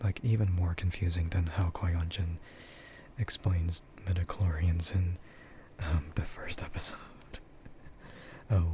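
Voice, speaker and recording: A man doing casual ASMR stream chat, soft, breathy and mostly whispered, in a hesitant, rambling way. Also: deep voice. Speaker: streamer, VTuber. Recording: dull, muffled audio with loud ambient noise.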